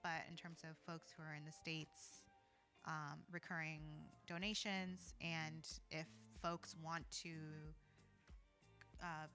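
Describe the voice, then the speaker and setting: Woman organizer just talking casually on a podcast, lowish voice, earnest, lots of like and you know, music behind her. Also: little pitch movement, slow, sharp tone. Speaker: community organizer and guest.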